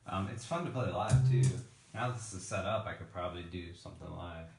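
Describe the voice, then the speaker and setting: A man giving a casual, hands-on software tutorial as a musician, thinking aloud in an informal way. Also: sits low, fairly quick, vocal fry, roomy sound. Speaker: musician demonstrating a vocoder.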